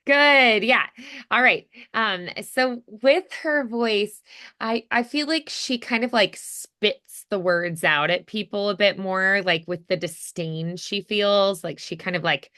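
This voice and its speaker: A woman's voice: mid-range voice, takes it slowly, pushed and bright. A woman coaching voice acting in a casual one-on-one lesson, thinking aloud and encouraging as she goes.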